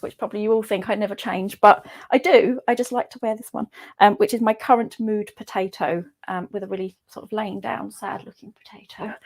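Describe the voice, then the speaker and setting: A woman's voice: mid-range voice, a little mellow. A woman hosting an online video-call session, chatty and giggly, with plenty of ums. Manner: chatty, giggly, many fillers. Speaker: host.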